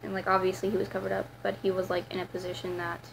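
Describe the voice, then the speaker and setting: Teenage girl, raspy voice, flat delivery, rambling vlog talk, faint background hiss. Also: mid-range voice, racing. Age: teens. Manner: rambling, many fillers and restarts. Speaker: vlogger. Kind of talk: casual confessional vlog.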